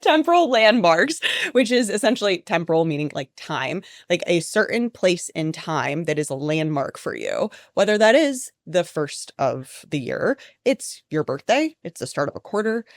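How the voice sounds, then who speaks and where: A woman's voice: on the low side, very animated, moderate pace, few pauses, no room sound, clean studio sound. A woman researcher talking as a podcast guest, explaining ideas in a chatty way with many fillers. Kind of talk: conversational podcast interview.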